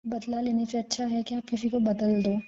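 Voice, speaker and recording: A woman's voice: on the high side, little pitch movement, moderate pace, warm tone, lightly acted. A woman playing a remorseful young woman who earnestly pleads her love in an intimate phone-call drama. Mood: sincere, resigned. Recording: dull lo-fi call recording.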